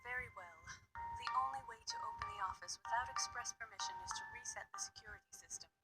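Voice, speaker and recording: An actress playing a formal, guarded woman in charge of office security in a visual novel game, speaking in a measured way. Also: a little high, over loud music, lightly acted. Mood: reluctant, formal.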